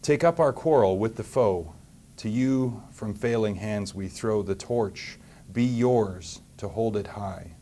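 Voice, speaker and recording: A man with a Canadian accent speaking as a mayor to schoolchildren, in a measured, simply worded way. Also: lowish voice, warm tone. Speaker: mayor hosting and reading to children. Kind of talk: public talk and storybook reading to schoolchildren.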